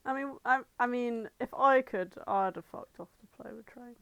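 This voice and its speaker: A woman co-hosting a podcast, bantering casually and bluntly, swearing freely and quick to argue. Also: fairly high pitch, gravelly, a bit bright, clean tone, no room sound, highs cut off. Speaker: podcast co-host. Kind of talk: casual banter about fandom.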